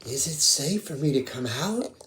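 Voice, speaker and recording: A woman's voice: a bass voice, animated, moderate pace, a bit bright, voice pushed down. A woman voicing a mild, lonely male rabbit in a children's puppet show, musing simply to himself. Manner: simple narrated musing with little hummed tunes. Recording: clearly noisy home recording.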